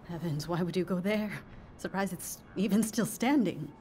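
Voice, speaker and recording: A woman's voice: lowish voice, moderate pace, mellow, a little hiss or hum, lightly acted. An actress playing an evasive, pious middle-aged woman, guarded and dismissive, in a video game drama. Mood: surprised. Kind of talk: naturalistic video game acting.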